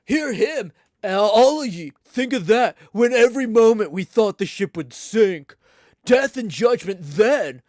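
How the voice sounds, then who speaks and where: A streamer voicing a stern, fiery old sea captain who preaches and scolds loudly. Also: expressive, unhurried, no room sound, studio quality, close to an everyday voice. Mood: fiery, indignant. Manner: booming, preachy, indignant.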